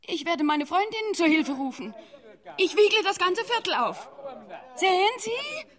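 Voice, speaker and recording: A woman's voice: very high-pitched, big pitch swings, unhurried, a bit bright, exaggerated voice. A woman playing a melodramatic, clinging mother in a German radio play, declamatory and imploring. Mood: frantic pleading.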